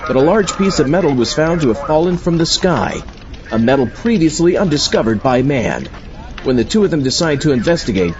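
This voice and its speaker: A man hosting a horror podcast in character, with scripted, theatrical delivery. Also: on the high side. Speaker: podcast host in a zombie astronaut persona. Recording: dull sound, faint outdoor traffic noise.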